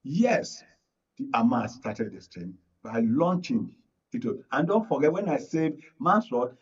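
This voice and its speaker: A man's voice: mid-range voice, very animated, unhurried, breathy edge. A Nigerian man giving radio panel commentary as a media analyst, earnest and emphatic, repeating phrases for weight. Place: radio studio. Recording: muffled audio, distant from mic.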